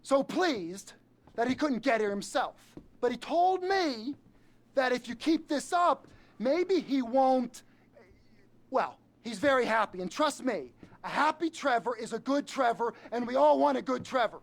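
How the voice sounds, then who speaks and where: A man's voice: extremely high pitch, expressive, measured pace, creaky, heavily acted. A man playing a jittery, fawning criminal henchman in a video game, rambling nervously and correcting himself as he goes. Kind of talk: video game cutscene acting.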